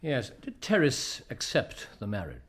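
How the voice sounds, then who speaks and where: A man playing a sharp, probing Victorian detective in a radio drama, asking crisp questions with a dry, superior air. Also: mid-range voice, huge pitch swings, measured pace, full character voice.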